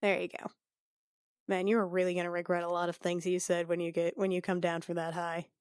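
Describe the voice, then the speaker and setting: A woman talking casually as a tabletop game player, slipping into roleplay in a halting, wry, sweary way. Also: fairly low pitch, level tone, darkish tone, clean tone, dry recording.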